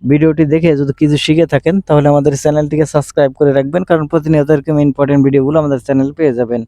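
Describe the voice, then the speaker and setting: Fast-talking man narrating a tutorial, mellow tone. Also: fairly high pitch, flat delivery, no room sound, clean studio sound. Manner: repetitive, instructional phrasing. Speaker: tech tutorial presenter. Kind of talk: step-by-step screen tutorial narration.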